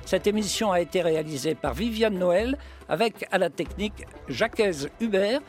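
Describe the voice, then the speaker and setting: A man hosting a radio interview, asking brisk, direct questions. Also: sits high, animated, a little mellow, faint music behind. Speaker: radio host.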